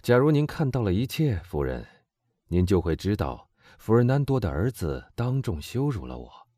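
A man playing a cold, vengeful middle-aged nobleman who speaks with calm, bitter irony. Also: lowish voice, moderate pace, no room sound, studio quality.

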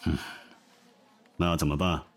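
A man's voice: extremely low pitch, little pitch movement, measured pace. A man acting an officious general manager with a bossy, managerial way of talking in a modern audio drama. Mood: officious, conciliatory. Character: officious male general manager, bossy yet easily placated.

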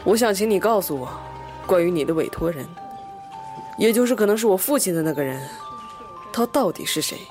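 A woman's voice: mid-range voice, expressive, fairly quick, pauses often, a little mellow, faint music behind, lightly acted. A woman playing a guarded young man in an audio drama, bargaining in a wary, matter-of-fact way.

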